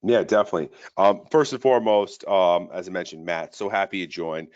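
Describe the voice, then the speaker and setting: A man's voice: deep voice, brisk, darkish tone, highs cut off. A man co-hosting a sales webinar in an upbeat, repetitive way with many fillers. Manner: upbeat, repeats words, fillers.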